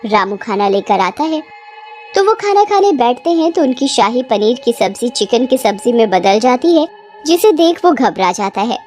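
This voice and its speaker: A woman narrates a cartoon storybook tale. Her voice sits fairly high and moves with very animated intonation. Music plays behind her. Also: normal speed.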